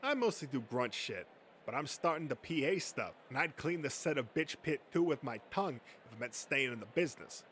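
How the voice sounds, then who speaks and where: A man's voice: medium pitch, very animated, a little fast, fairly bright tone, slight background noise. A man acting a boastful, crude male horror fan in a comic dub, telling stories with profane swagger. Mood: proud.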